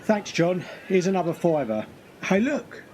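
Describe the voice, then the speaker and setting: Lively, excitable man as a hopeful castaway. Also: high voice, unhurried, slight background noise. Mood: excited.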